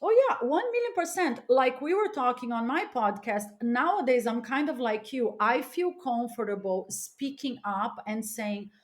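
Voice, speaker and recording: A woman from Los Angeles talks as a podcast guest in a fairly high voice. Her delivery is informal and emphatic, full of conversational fillers, and she speaks candidly as in a casual chat. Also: animated, normal speed, few pauses. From Los Angeles, United States. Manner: emphatic, informal with frequent "like" and "you know".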